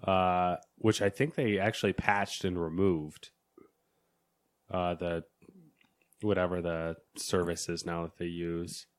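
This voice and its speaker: A man's voice: sits low, flat delivery, slow. A man co-hosting a gaming podcast in casual chat, laid-back, with short replies. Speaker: podcast co-host.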